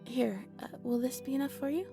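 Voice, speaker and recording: A woman playing a polite, shy owl shopkeeper in a romantic roleplay audio drama, speaking gently and hesitantly. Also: high-pitched, brisk, gravelly, faint music behind, pitched above the performer's own voice. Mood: shy, warm.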